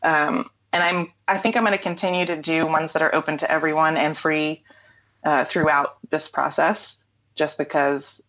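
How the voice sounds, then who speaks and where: Low-voiced woman chatting as a guest, thoughtful and candid, kinda dull lo-fi call audio. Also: level tone, normal speed, a bit bright. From North Carolina. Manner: reflective, candid, frequent ums and restarts. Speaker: interview guest, singer-songwriter. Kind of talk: casual conversational interview.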